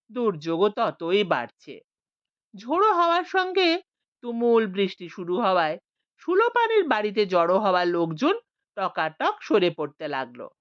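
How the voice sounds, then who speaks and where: A woman narrating a story as an audiobook, with a measured, expressive reading. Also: mid-range voice, clean tone, no room sound. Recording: dull lo-fi, dry.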